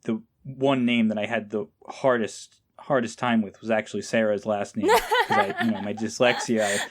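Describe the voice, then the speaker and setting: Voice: mid-range voice, steady tone, normal speed. An easygoing podcast guest chatting casually in a hesitant, self-deprecating way. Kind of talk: casual chat.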